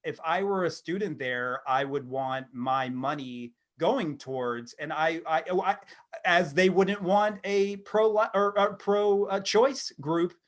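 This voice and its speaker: A man speaks in a slightly high voice. He sounds like a co-host in a casual discussion, questioning points and working through his reasoning out loud with some hesitation. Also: unhurried. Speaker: podcast co-host.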